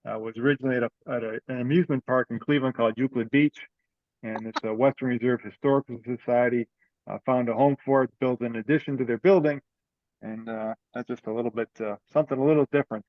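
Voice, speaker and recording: A man leads an online meeting in a plain, conversational voice at a middle pitch. He talks informally and haltingly, thinking aloud as he goes. The sound is a slightly dull video-call recording with a dry, close room. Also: fairly even intonation, no room sound. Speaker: online meeting presenter for an amateur radio group. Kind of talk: informal meeting update, unscripted.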